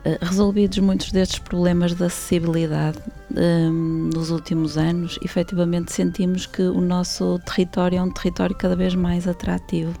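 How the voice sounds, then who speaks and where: A woman talks in a measured way, often pausing with fillers. Her voice is low, dark and gravelly, and faint music plays behind her. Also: little pitch movement. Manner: measured, hesitant with frequent fillers. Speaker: panel guest. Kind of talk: formal local panel talk.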